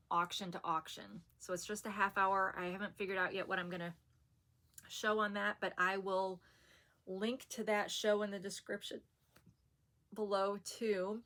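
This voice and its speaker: Soft-voiced chatty woman vlogger. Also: medium pitch. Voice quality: soft and soothing, said to put people to sleep. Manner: chatty and rambling, with soft fillers and laughs. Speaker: haul vlogger. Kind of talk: casual chat to camera.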